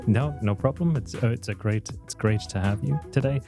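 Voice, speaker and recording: A man's voice: medium pitch, brisk, over music. A man hosting a podcast, joking around and rambling with frequent restarts. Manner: jokey, rambling, restarts a lot. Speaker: podcast host. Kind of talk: casual interview.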